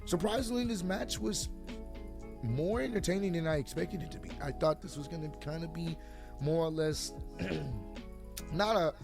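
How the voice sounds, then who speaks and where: A man with a hoarse, sore voice giving a casual review and talking straight to his viewers. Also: a little high, normal speed, music in the background. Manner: chatty, addresses viewers directly. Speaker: wrestling show reviewer.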